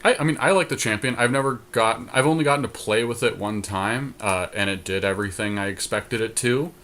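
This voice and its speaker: A man's voice: fairly low pitch, brisk, fairly bright tone. A man co-hosting a podcast, giving casual, laid-back commentary on a card game as he thinks aloud. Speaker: podcast co-host. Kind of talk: casual commentary while playing a card draft.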